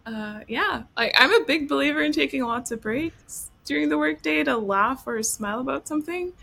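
A woman from Ontario talking in a casual group chat as a roundtable panelist, thoughtful and soft-spoken, with easy giggles. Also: sits high, expressive, moderate pace, gravelly. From Ontario, Canada.

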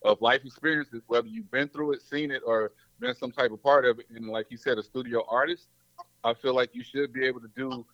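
A man from Mississippi and New Orleans, a rapper talking loudly and casually in slang. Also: medium pitch, fairly even intonation, brisk, no echo. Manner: loud, slangy, laid-back. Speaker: rapper guest. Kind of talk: casual interview chat. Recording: dull lo-fi dry sound.

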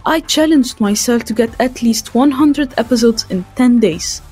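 A woman's voice: fairly high pitch, normal speed, a little mellow, slightly rough, faint music behind. A woman from France hosting a podcast, reading out a scripted introduction and survey questions. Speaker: podcast host.

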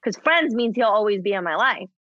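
Halting woman in her thirties, candid. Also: mid-range voice, animated, a bit bright, dry recording, highs cut off. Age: 30s. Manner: halting, many restarts, laughs often. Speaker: guest. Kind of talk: candid conversation.

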